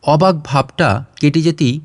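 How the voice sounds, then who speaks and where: A man's voice: fairly high pitch, measured pace, no room sound, studio quality. A man reading a scripted short story as an audio narrator.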